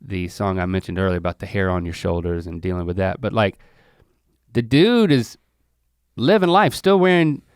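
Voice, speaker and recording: An easygoing man in a casual chat, talking in a relaxed, philosophical way. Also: deep voice, normal speed, clean tone, dry recording, clean studio sound.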